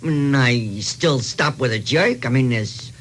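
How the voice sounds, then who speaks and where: Excitable, bright-voiced elevator operator. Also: male voice, mid-range voice, takes it slowly, breathy edge, exaggerated voice. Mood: bemused, pleased. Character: excitable, girl-struck elevator operator in baggy uniform. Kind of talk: 1960s comic radio commercial acting. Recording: old AM radio aircheck.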